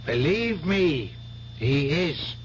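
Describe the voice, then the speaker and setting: Solemn priest, slow and measured. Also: male voice, a little high, big pitch swings, over loud music, full character voice. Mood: grave, admonishing. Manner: grave, measured. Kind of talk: 1970s radio drama acting. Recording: dull old radio broadcast, faint noise.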